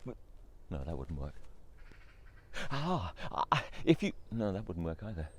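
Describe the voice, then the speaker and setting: A man's voice: low-pitched, sing-song, unhurried, pitched below the performer's own voice, heavily acted. A storyteller voicing a bewildered husband who has lost his wife, anxious and pleading. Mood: pondering. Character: grieving husband, frantic and bewildered.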